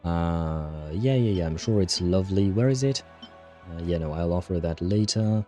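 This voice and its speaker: A man's voice: low-pitched, normal speed, warm tone, faint music behind. A man giving casual livestream commentary as he plays a game, muttering to himself and swearing now and then. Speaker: livestream host.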